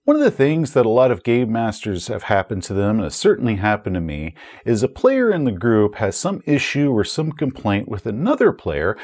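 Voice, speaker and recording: A man's voice: fairly low pitch, sing-song, normal speed, few pauses, a little mellow. A man in his forties giving advice to camera as a channel host, explaining things with emphasis. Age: 40s. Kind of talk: direct-to-camera advice commentary. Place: small furnished room at home, indoors. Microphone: lapel mic.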